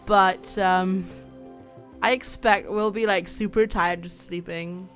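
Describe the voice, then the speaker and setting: A woman's voice: medium pitch, steady tone, slow, fairly bright tone, soft background music. A young woman from Germany chatting casually with her viewers while playing a game on a livestream, reading out chat and laughing often. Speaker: streamer.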